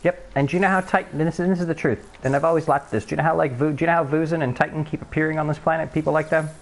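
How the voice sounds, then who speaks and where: A man with a high-pitched voice, streaming live and chatting over voice chat in a profane, emphatic way, often restarting his sentences. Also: steady tone, rapid-fire. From Canada. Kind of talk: rambling voice chat.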